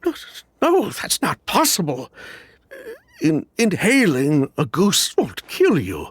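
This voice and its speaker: A man's voice: fairly high pitch, huge pitch swings, slow, breathy edge, no echo, exaggerated voice. An actor playing an evasive, guilty male perfume businessman in a video game, stammering and defensive as he explains himself.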